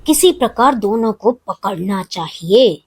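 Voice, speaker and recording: A woman's voice: mid-range voice, lively intonation, measured pace, a crowd in the background, close to an everyday voice. A woman voicing a sly male wolf villain in a children's story, coaxing with false friendliness. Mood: scheming.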